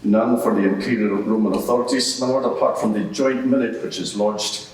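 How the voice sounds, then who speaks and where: A man's voice: fairly low pitch, fairly quick, natural voice. A man playing a courteous, wry advocate for imperial Rome, putting polite, probing questions in formal courtroom language. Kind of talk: courtroom examination of a witness. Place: large echoing courtroom. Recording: very echoey hall, distant, noisy.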